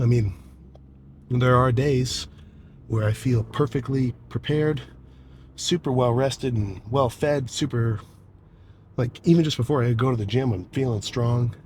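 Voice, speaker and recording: A young man talks in a laid-back, rambling vlog style, his voice stuffed up from a cold. He swears casually and keeps clearing his throat, at a middling pitch, with faint background noise under the recording. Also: a little mellow. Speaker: bodybuilding vlogger. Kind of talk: casual vlog talk to camera.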